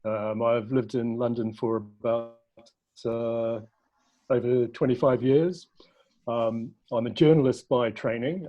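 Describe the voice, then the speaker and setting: Husky-voiced New man, hesitant. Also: medium pitch, a little mellow. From New Zealand. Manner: hesitant, many ums, restarts. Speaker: documentary filmmaker guest. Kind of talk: casual interview over video call. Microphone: video call.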